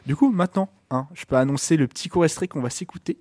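A man's voice: a little high, quick pace, warm tone, no echo. Stuffy-nosed man hosting radio. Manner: hesitant, stumbles over words. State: stuffy with a cold. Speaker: radio show host. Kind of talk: casual radio chat. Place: radio studio.

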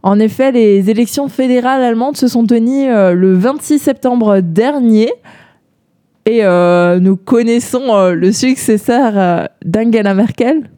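A woman's voice: on the high side, measured pace, warm tone, dry recording, studio quality. A young woman from Alsace co-hosting a radio show, talking casually with many hesitations and easy laughs. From Alsace, France. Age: young adult. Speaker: radio co-host.

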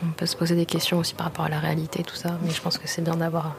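A woman's voice: low voice, monotone, racing, creaky, slight background noise. A woman taking part in a casual panel discussion. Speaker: film show panelist.

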